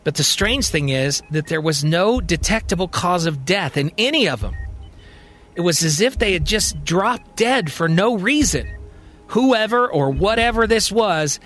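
A man's voice: high-pitched, animated, unhurried, fairly bright tone, soft background music. A man voicing a grave, insistent President of the United States in a storytelling science-fiction podcast. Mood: grave, explaining.

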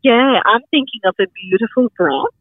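A woman's voice: on the high side, normal speed, no echo. Enthusiastic middle-aged woman, Sri Lankan accent, rambling guest; dull dry lo-fi audio. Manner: enthusiastic, rambling with many fillers. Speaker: guest, market founder and farmer. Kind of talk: casual interview chat.

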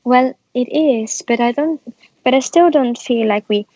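A woman's voice: high voice, unhurried, a little mellow, no echo. A young woman, a musician speaking reflectively in a casual interview, with many small restarts. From Norway. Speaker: musician guest. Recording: muffled, slightly lo-fi sound.

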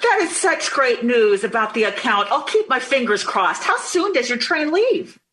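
An actress playing a warm, supportive 1950s wife and mother in an old-time radio drama, affectionate and encouraging. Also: on the high side, big pitch swings, moderate pace. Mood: cheerful, supportive. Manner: bright-spirited, encouraging, affectionate.

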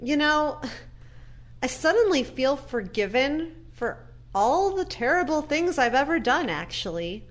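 Woman acting a slow-spoken, gentle daughter. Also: high-pitched, very animated. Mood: moved, grateful. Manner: measured, reflective. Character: gentle, earnest daughter visiting her mother in care. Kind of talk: audio play acting.

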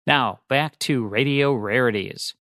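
A man's voice: mid-range voice, measured pace, a bit bright, no room sound, studio quality. A man with an American accent reading a scripted promotion as a radio host. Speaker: radio host and club curator. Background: music.

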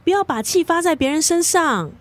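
A woman's voice: very high-pitched, lively intonation, brisk, no room sound. A woman with a Singaporean accent acting a bossy, teasing but caring best friend, scolding in a radio drama. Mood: stern. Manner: scolding, mock-indignant.

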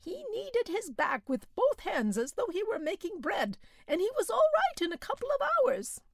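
Brief: panicky peasant man, high and whining, played by a woman. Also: sing-song, moderate pace, no room sound, clean studio sound, full character voice. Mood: plaintive.

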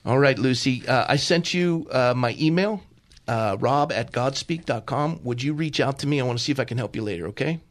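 A middle-aged male radio host who speaks with fervor and a pastor's warmth of conviction, often stumbling over repeated words before pressing on. His pitch sits in an ordinary middle range. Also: brisk. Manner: fervent, pastoral, repeats himself in bursts. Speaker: radio talk show host. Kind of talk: talk radio with prayer.

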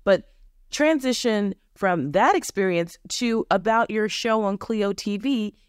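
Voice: medium pitch, a little slow, clean tone, dry recording, studio quality. A podcast host asking a chef guest long, rambling questions in an enthusiastic, hedging way. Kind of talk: interview conversation.

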